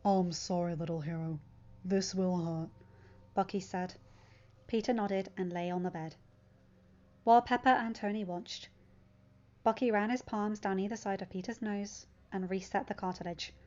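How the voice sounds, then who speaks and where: A woman's voice: mid-range voice, steady tone, normal speed, a little mellow. A woman reading scripted fiction aloud as a story narrator. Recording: slightly dull, lo-fi sound.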